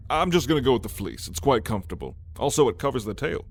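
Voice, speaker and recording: A man's voice: medium pitch, very animated, creaky, breathy edge, exaggerated voice. A man voicing a lazy, playful old male dragon in an ASMR roleplay, with a teasing, sardonic drawl. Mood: amused, delighted. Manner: unhurried, teasing, chuckling drawl. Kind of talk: ASMR roleplay addressed to the listener.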